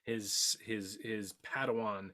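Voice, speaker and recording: A man talking excitedly in a fan discussion, repeating words for emphasis as a podcast panelist. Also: on the low side, takes it slowly.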